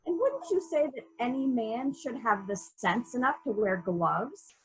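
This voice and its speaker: A methodical female detective played by a woman runs a murder inquiry. Her voice is fairly high and very lively in pitch, as she muses deliberately and asks pointed questions. It sounds like a dramatic mystery reading. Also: breathy edge, heavily acted, poor audio. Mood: musing. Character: female police detective in charge, probing and methodical. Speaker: actor in a library's dramatic reading.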